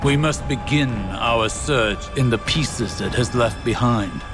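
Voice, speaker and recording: An actor playing a grave, deliberate narrator of a foreboding science fiction game cinematic. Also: male voice, medium pitch, lightly acted. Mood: solemn, foreboding. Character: solemn, foreboding male narrator of a sci-fi saga. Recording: loud music under the narration.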